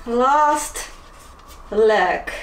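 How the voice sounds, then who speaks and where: Young Dutch-accented woman, unhurried playful vlogger, high animated voice, roomy echo. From Netherlands. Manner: playful, giggly, self-mocking. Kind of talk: casual vlog chat. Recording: echoey room, faint background noise.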